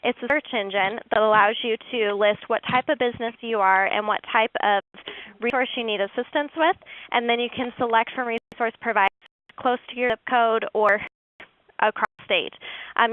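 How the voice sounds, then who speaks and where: A woman hosting a webinar who walks listeners through online resources in a steady, informative way. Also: a little high, no room sound. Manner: steady, informative, occasional fillers. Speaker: webinar host. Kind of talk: webinar presentation. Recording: dry tinny phone-like line.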